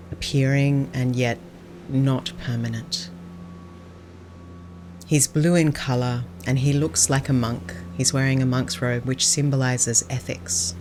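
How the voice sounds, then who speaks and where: Woman guiding meditation over clear background noise. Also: very deep voice. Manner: calm, gentle, unhurried guidance. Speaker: Buddhist nun, meditation teacher. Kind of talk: guided meditation.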